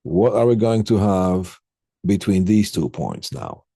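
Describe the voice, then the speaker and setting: A man giving an informal technical lecture as an instructor, explaining step by step in a halting way and putting questions to students. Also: low voice, sing-song, vocal fry, mellow, slightly rough, no room sound, studio quality.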